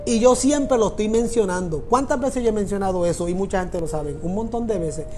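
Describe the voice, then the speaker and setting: A man's voice: high voice, fairly quick, a little mellow, faint music behind. A middle-aged man from Puerto Rico with a Puerto Rican Spanish accent, preaching a sermon and repeating phrases for emphasis. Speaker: pastor.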